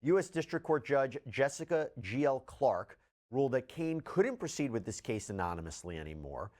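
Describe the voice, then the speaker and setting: A man explains case updates in the style of a news commentator. His voice is medium in pitch and moves up and down a great deal. He puts deliberate stress on key words and sets up points with rhetorical questions. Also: big pitch swings, a little mellow.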